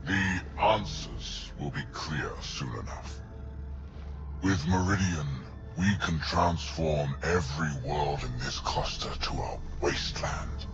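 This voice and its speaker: A man's voice: a bass voice, slow pace, muffled recording, loud music behind the voice, heavily acted. An actor playing a menacing, grandiose male alien overlord in a video game cutscene. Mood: cold menace.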